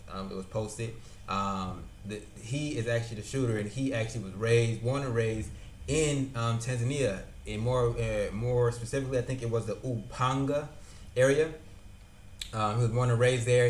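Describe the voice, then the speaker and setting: A man's voice: medium pitch, a little hiss or hum. A young man casually explaining a news event as a vlogger, talking loosely with many fillers and repeated words. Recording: echoey room with faint ambience.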